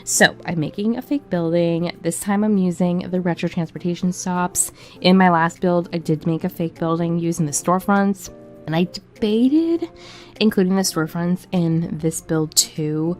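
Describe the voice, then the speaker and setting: A woman with a lowish voice gives an informal, conversational gaming commentary. She sounds a bit sick and prone to cracking from a cough. Music plays behind her. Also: a little mellow. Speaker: gaming video creator. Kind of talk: casual voiceover commentary on a game build. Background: background music.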